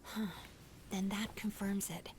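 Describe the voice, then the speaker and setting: A gentle young healer in a video game voiced by a woman. She speaks softly and breathily at a medium pitch, earnest and protective. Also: little pitch movement, slow pace, slight background noise, natural voice. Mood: serious, cautious. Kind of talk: English video game voice acting.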